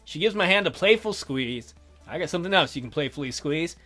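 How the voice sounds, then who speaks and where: A man hosting a let's play, reading a visual novel aloud with expressive, wisecracking commentary. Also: on the high side, fairly quick. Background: music.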